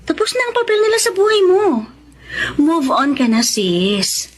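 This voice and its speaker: An actress playing a practical, protective older sister, blunt and chiding, in a radio drama. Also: female voice, very high voice, big pitch swings, a little slow, exaggerated voice. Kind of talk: Tagalog radio drama acting.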